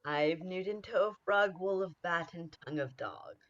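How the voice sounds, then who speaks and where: A woman's voice: lowish voice, moderate pace, natural voice. A woman playing an ominous supernatural figure in a Shakespeare radio play, declaiming in incantations, on dull lo-fi audio. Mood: chanting, gleeful. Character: ominous witches and conjured spirit.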